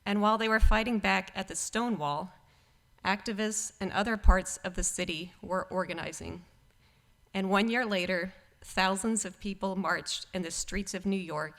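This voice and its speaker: Woman reading a measured church reflection. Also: medium pitch, fairly even intonation, fairly bright tone. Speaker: church service speaker. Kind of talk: prepared spoken reflection read to congregation.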